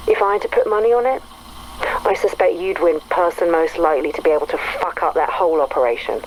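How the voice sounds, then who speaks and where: An actress playing a reserved, thoughtful British woman with a dry, measured manner in a video game. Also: lowish voice, slight background noise, lightly acted, low-quality recording. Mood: dry, hesitant. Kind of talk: naturalistic game dialogue acting.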